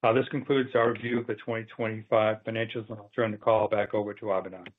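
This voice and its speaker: A man presenting company financial figures on an earnings call in a halting, stumbling way, as a finance executive. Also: on the low side, little pitch movement. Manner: halting, stumbling over phrases. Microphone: phone or conference line. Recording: tinny phone-line conference call.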